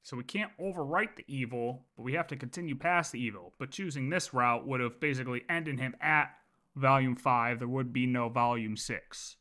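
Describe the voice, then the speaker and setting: A man's voice: medium pitch, moderate pace, pushed and bright. A man giving casual live commentary as a game streamer, thinking aloud with emphatic points. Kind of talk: casual live playthrough commentary with lore theorizing.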